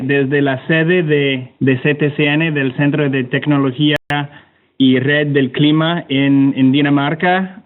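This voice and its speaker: Halting Canadian-accented man on a phone-like line. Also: a little high. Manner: halting, hesitant, repeats words while searching for them. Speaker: webinar panelist, climate technology organisation representative. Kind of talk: informal spoken presentation with slides. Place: online webinar call. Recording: narrow tinny phone-like online connection.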